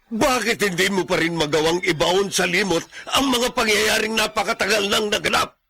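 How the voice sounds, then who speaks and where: A man's voice: high-pitched, normal speed, gravelly, sharp tone, husky, exaggerated voice. A man playing an anguished parent, pleading and reproachful in a radio drama. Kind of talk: Filipino radio drama acting.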